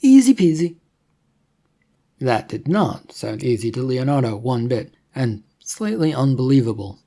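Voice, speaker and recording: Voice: mid-range voice, lively intonation, normal speed, creaky, warm tone. A narrator reading a scripted adventure story in the manner of an audiobook.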